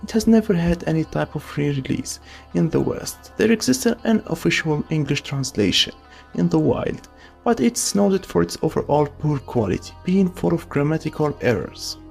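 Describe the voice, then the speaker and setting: Brief: opinionated voice-over narrator, animated delivery, some fry, soft music underneath. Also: medium pitch, moderate pace. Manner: opinionated, wry asides. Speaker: video essay narrator. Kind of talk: scripted voice-over commentary.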